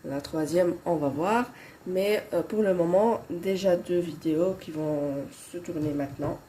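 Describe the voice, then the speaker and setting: A Belgian woman in her thirties talks slowly with a head cold, her voice low and mellow. She hesitates often and fills pauses with "euh" and restarts. A faint hum sits behind her. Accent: Belgian French. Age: 30s. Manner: hesitant, many fillers and restarts. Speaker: budgeting vlogger. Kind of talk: casual chat to viewers.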